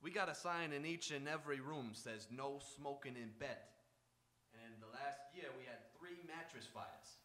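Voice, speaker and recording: A man's voice: medium pitch, normal speed, pushed and bright, lightly acted. A man playing a folksy, talkative, evasive hotel clerk in a radio drama, rambling through his replies. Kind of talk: old-time radio drama acting.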